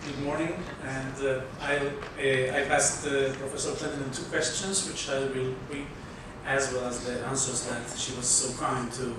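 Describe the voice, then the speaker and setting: A man's voice: medium pitch, level tone, moderate pace, hardly any pauses, noisy background. A young man with an Argentinian accent, a history graduate, reading questions and answers aloud with hesitant restarts.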